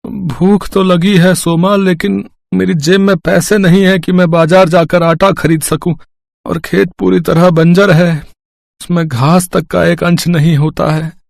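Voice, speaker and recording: A man's voice: high-pitched, little pitch movement, unhurried, creaky, no room sound, studio quality. A man voicing a poor but proud village farmer, a worried husband and father who speaks earnestly in a cartoon story. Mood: weary, sad. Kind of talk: animated moral story dialogue.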